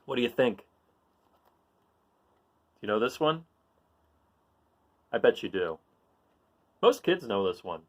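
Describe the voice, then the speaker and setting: A man reads a children's picture book aloud as its host. His pitch is highly animated and moves widely around a middle register. He is encouraging and talks to his listeners as if in a guessing game. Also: slow pace, pauses often. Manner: encouraging, asks listeners questions.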